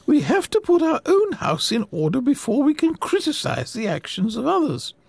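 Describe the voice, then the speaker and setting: A man's voice: very high voice, huge pitch swings, normal speed, creaky, husky, no room sound, voice pushed up, exaggerated voice. A man voicing a sweet but preachy old woman campaigner, prim and sugary, in a story reading. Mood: offended. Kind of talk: reading a memoir aloud.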